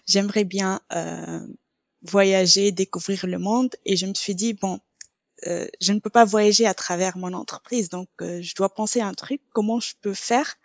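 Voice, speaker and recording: Non-native french speaker, young woman, lots of ums, dry close sound, mid pitch. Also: dry recording. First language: neither French nor English, trilingual. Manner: hesitant, many filler sounds. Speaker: podcast guest, quality coach. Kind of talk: casual interview talk.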